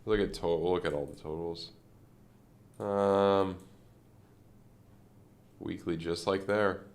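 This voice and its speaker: Casual male streamer, gravelly fry, congested nasal tone, loose unscripted chart talk. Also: low voice, fairly even intonation, takes it slowly. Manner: rambling, thinking aloud with many fillers. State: congested from a sinus infection. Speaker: crypto trading streamer.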